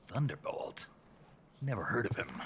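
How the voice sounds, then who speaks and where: Gruff stoic male American operative for a stealth game, very low gravelly voice, clipped and terse. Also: big pitch swings, unhurried, fairly bright tone, slight background noise. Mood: firm, questioning. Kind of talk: video game voice acting.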